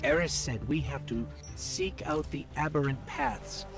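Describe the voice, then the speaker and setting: An eager little robot companion. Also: male voice, a little high, very animated, creaky, music in the background, exaggerated voice. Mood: curious. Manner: quick, inquisitive, chatty. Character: small floating robot companion, curious, eager male. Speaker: Ghost companion to the player. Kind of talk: video game cutscene voice acting. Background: orchestral game score.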